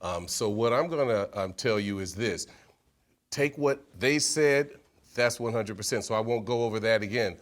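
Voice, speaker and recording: A man's voice: on the low side, moderate pace. A young man, a newer panelist, giving modest and direct advice. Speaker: real estate panelist.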